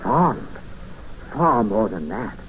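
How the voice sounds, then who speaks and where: Low, mild, unnerving jungle recluse. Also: male voice, sing-song, slow, fairly bright tone, full character voice. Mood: obsessive, fond. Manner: hesitant, mild, politely insistent. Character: gentle, eerie jungle recluse obsessed with Dickens, quietly menacing. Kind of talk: 1950s radio drama acting. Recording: muffled, hissy 1950s radio recording.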